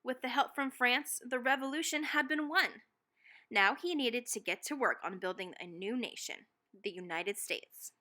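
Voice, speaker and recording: Female read-aloud narrator for children's books: bright, forward tone, slightly high pitch, expressive and deliberately dramatic phrasing.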